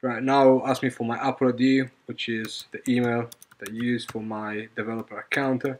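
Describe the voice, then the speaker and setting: A man's voice: mid-range voice, little pitch movement, normal speed, a little hiss or hum. Man walking through a coding tutorial. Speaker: software tutorial presenter. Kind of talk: informal screen-recorded coding tutorial.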